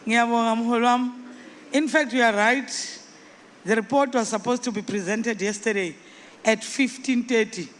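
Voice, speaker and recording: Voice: mid-range voice, moderate pace, fairly bright tone, a little hiss or hum. A South African speaker chairing a meeting, calling on people informally and mixing English with Nguni phrases. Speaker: congress chairperson.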